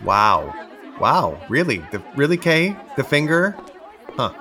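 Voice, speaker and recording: An actor playing an awkward, eager-to-please theatre producer in an audio comedy, stammering and repeating himself nervously. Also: male voice, mid-range voice, very animated, takes it slowly, bright, forceful tone, a faint crowd, heavily acted. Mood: amazed, teasing. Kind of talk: audio musical comedy acting.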